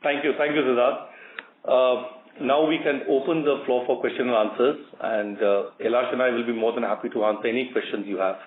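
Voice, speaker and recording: A man with an Indian accent giving a formal, measured company briefing, reading out figures as an executive. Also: mid-range voice, fairly even intonation. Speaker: company executive presenting results. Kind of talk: formal scripted earnings briefing. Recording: narrow phone line, roomy echo.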